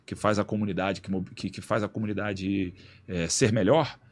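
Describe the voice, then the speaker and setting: A man being interviewed as a guest in a radio studio, explaining in a relaxed, slangy way with many restarts. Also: lowish voice, moderate pace. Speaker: guest, executive director of an institute.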